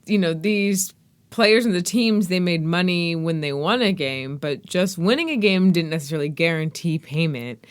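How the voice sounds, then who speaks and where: A woman hosting a podcast interview, listening closely and asking conversational follow-up questions. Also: on the low side. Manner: engaged, prompts with short backchannels and questions. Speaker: podcast host.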